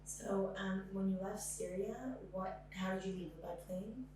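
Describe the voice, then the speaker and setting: Lady asking interview questions, low flat voice, really echoey with a faint hum. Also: unhurried.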